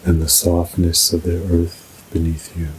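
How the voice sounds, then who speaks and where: Deep-voiced guy doing a soothing guided meditation, really flat tone, faint rumbly noise behind. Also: low-quality recording. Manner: soothing, measured, unhurried delivery. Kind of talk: slow scripted guided meditation.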